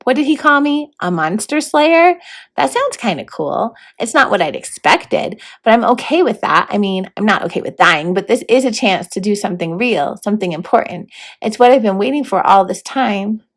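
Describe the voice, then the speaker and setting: A woman's voice: mid-range voice, lively intonation, moderate pace. Woman reading as plucky, wry schoolgirl heroine. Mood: determined. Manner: casual, questioning, joking. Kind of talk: first-person storybook read-aloud.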